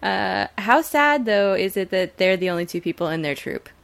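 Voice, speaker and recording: A woman's voice: mid-range voice, animated, normal speed, creaky, a bit bright, clean tone. A woman co-hosting a podcast, talking casually about a television show. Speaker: podcast co-host. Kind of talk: casual TV episode discussion.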